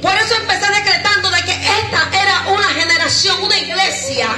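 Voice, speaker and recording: High-pitched woman preaching fervently. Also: brisk. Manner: shouting, sing-song preaching with bursts of tongues. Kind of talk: fiery Pentecostal sermon. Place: large bare concrete courtyard church, outdoors at night. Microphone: handheld microphone. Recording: echoey open space with loud music behind.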